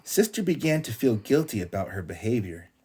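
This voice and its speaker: A man reads a bedtime storybook aloud in an animated style. His pitch moves widely as he narrates, like a father telling a tale to his child. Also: a little fast, husky.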